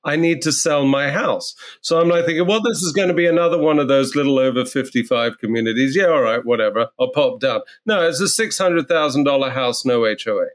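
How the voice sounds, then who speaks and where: A man working as a real estate agent, telling stories in a casual interview in a blunt, animated way and swearing freely. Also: medium pitch, fairly quick, hardly any pauses.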